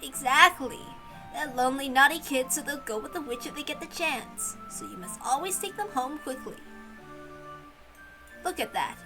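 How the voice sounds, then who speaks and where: A woman's voice: high voice, expressive, normal speed, over music, rough recording. Woman as a kindly, coaxing servant woman. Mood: gentle, coaxing. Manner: gentle, coaxing, chuckling storyteller. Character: kindly, playful woman servant explaining charms to a girl.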